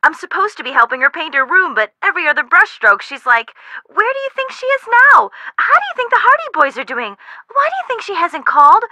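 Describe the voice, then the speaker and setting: A sarcastic young American best friend from a mystery game, blunt and teasing. The voice is high and bright and swings widely in pitch. Her delivery is wry and animated. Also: brisk, few pauses, no echo, full character voice. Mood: teasing, exasperated. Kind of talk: video game voice acting.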